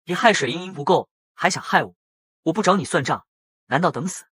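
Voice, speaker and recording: A man's voice: fairly high pitch, expressive, brisk, pushed and bright, husky, no room sound, heavily acted. A man voicing a defiant, hot-headed young working-class hero in an audio comic drama.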